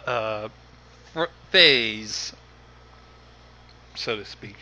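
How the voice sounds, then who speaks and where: A man co-hosting a livestream with casual commentary in a halting, self-correcting way. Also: on the low side, pushed and bright. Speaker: livestream co-host. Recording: muffled, noisy computer mic.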